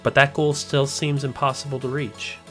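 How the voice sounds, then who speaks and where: Measured male video essay narrator. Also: mid-range voice, flat delivery, a bit bright, faint music behind. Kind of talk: scripted narration.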